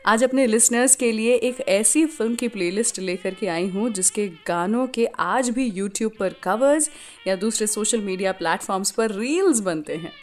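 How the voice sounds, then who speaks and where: A woman's voice: medium pitch, expressive, normal speed. An Indian woman hosting a radio show, telling scripted stories in a chatty way straight to the listener and mixing in English phrases. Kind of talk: scripted radio storytelling and song introductions.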